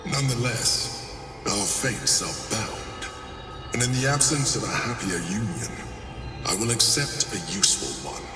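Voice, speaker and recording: An actor voicing an imperious, aloof otherworldly guardian in a video game, speaking in a haughty, measured and formal manner. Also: male voice, lowish voice, lively intonation. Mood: cold, disapproving. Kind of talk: dramatic video game dialogue. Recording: echoey hall, distant, music underneath.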